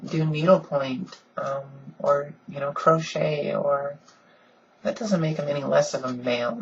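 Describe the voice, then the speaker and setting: A woman with a deep voice she softens a little, talking earnestly and casually in a home vlog with lots of ums. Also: vocal fry, highs cut off, a little hiss or hum. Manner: frequent "um"s, earnest and conversational. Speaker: vlogger. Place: at home, in pajamas.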